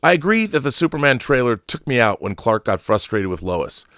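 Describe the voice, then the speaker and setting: A man's voice: medium pitch, big pitch swings, fairly quick, fairly bright tone, no echo, studio quality. A man in his fifties hosting a casual livestream, commenting and reading out messages in an opinionated, emphatic way, repeating himself for emphasis. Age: 50s. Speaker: livestream host.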